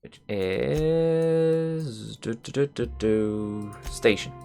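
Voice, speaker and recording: A man's voice: mid-range voice, extremely slow, creaky, dark tone, faint music behind. A man giving casual let's play commentary, reading game text aloud and muttering playfully to himself.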